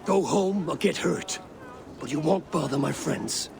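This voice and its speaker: An actor playing a blunt, honest Viking raider hero who talks in a firm, plain way. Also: male voice, a little high, unhurried, creaky, over a noisy crowd.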